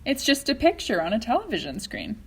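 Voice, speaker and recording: A woman's voice: on the high side, huge pitch swings, a little fast, fairly bright tone, husky, pitched above the performer's own voice, exaggerated voice. A woman doing a scoffing know-it-all boy.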